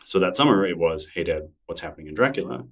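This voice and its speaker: A middle-aged man with a deep, low voice chats as a podcast guest. He talks in a loose, self-deprecating way, often restarting his sentences. He sits a little off the mic. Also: quick pace, recorded from a distance. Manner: relaxed, rambling, self-deprecating with frequent restarts. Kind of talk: casual interview conversation.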